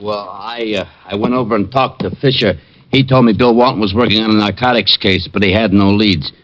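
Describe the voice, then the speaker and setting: Actor as low-voiced, plodding American police sergeant. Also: male voice, fairly even intonation, a little fast, a bit bright, lightly acted. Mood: reporting, hesitant. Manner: hesitant, plodding. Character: slow-witted, grumbling male police sergeant. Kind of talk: 1940s radio drama acting. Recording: dull old radio recording.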